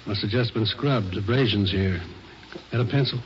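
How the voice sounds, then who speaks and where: A man's voice: lowish voice, normal speed, natural voice. A man playing a methodical crime lab investigator in an old-time radio drama, muttering in terse fragments. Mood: absorbed. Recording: dull, noisy old radio recording.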